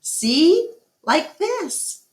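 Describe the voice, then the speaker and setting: A woman's voice: very high-pitched, very animated, extremely slow, pitched above the performer's own voice, full character voice. A woman voicing an eager, friendly young girl with a casual, childlike delivery in a children's storybook. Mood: amused, instructing. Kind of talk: children's storybook read-aloud.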